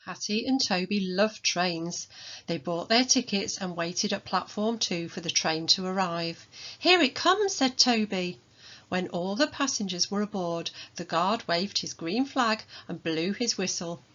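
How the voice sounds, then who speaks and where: A woman reading a children's storybook aloud as its author, in an expressive manner. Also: lowish voice, unhurried, highs cut off. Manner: expressive, acts out dialogue.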